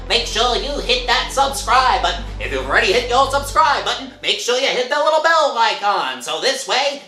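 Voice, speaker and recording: Animated male channel announcer. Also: sits high, roomy sound, low-quality recording. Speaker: channel promoter. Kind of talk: scripted promotional announcement.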